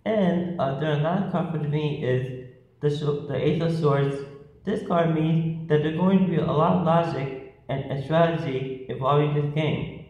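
A man in his thirties talking casually to camera as he gives a tarot reading, halting and repeating words. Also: on the high side, darkish tone. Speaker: vlogger giving tarot readings. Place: small bare-walled room, indoors. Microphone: phone at arm's length. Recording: very echoey room, dull lo-fi sound.

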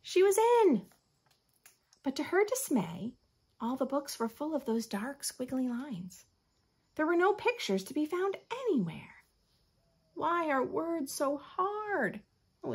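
An American woman in her thirties reading a picture book aloud with expressive, teacherly asides to young children. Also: sits high, slow pace, husky. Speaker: children's story host. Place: small furnished room at home.

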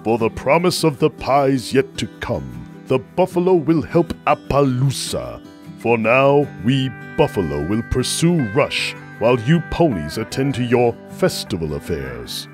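A stately male buffalo chief from a cartoon , played by a man. He talks slowly and gravely, with words that feel ceremonial. His pitch rises and falls dramatically. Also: very animated, faint music behind. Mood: resolute. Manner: slow, grave, ceremonial speech. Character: solemn male buffalo chief, proud and formal. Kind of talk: fan animation voice acting.

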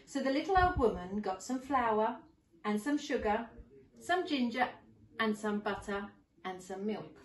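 A woman in her forties reading a storybook to young children as a teacher, expressively and deliberately. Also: on the high side. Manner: deliberate, animated. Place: living room at home. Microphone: camera a few metres away. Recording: roomy, distant camera microphone.

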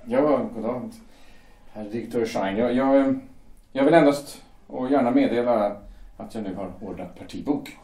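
A man's voice: medium pitch, warm tone, a little hiss or hum, close to an everyday voice. A man playing a stammering, deferential worker. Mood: hesitant. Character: hesitant young male shipyard worker, deferential. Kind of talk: audio drama acting. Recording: roomy echo.

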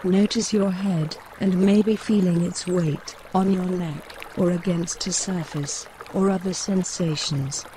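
Slow, mellow female meditation guide. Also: on the low side, steady tone, slight background noise. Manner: gentle, measured instructions with pauses. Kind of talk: guided mindfulness body scan narration. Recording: running stream water behind voice. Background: trickling woodland stream.

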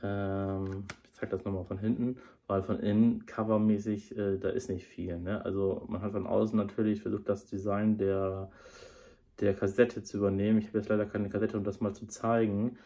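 A man's voice: fairly low pitch, flat delivery, moderate pace, dark tone. A man in his thirties who has a cold, talking casually to a close camera in a small indoor room, rambling with many fillers. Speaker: audio drama reviewer. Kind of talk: casual review talk to camera. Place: small room under a sloped roof, indoors. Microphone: device camera at close range.

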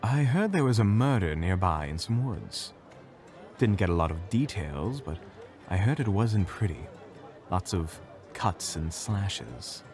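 A man playing a smooth-talking, snide adventurer in an audio drama, with a pompous, affected way of talking. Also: on the low side, animated, measured pace, over music. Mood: wry.

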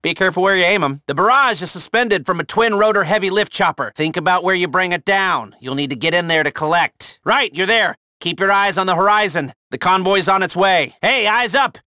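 A man acting an excitable, wisecracking mission handler in a video game, sarcastic and emphatic. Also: sits high, dry recording, studio quality. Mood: urgent.

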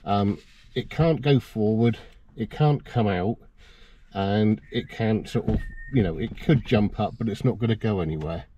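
A man's voice: on the low side, unhurried, mellow, dull audio, slight background noise. A middle-aged man giving a casual, plain-spoken how-to demonstration. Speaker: presenter of a car DIY video. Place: outdoors in a yard and inside a parked car.

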